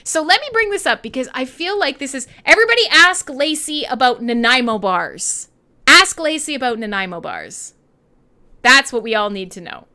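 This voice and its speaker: A woman's voice: sits high, normal speed. A woman in her thirties with a Canadian accent, hosting a radio show in irreverent, sweary, sarcastic casual chat with listeners. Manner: sweary, sarcastic, animated, laughs often.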